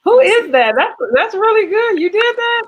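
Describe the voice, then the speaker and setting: A woman's voice: very high-pitched, lively intonation, normal speed, lo-fi sound. An American woman hosting a casual interview in an effusive, encouraging way. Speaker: interview host.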